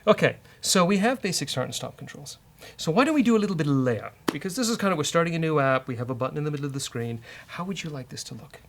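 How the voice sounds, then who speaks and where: A man's voice: a little high, lively intonation, fast. A man with a British accent hosting a casual live coding walkthrough, thinking aloud in a jokey way. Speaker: host of a coding show. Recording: keyboard clatter and clicking noise. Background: typing and mouse clicks.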